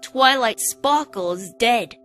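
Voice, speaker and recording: A woman's voice: a little high, expressive, slow, fairly bright tone, faint music behind. A woman voicing a young, scheming, theatrical filly in a children's-cartoon style story. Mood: calm, smug. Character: young female filly, scheming, theatrical, cold beneath tears.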